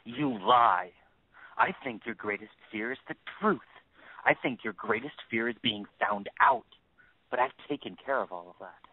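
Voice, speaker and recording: An actor playing a middle-aged, grieving father out for revenge, measured, accusing and menacing in an audio drama. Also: medium pitch, animated, vocal fry, slightly rough, no echo. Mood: coldly triumphant. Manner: measured, accusing, menacing, dwells on repeated phrases. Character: vengeful grieving father, coldly confronting his oldest friend. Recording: phone-like lo-fi line.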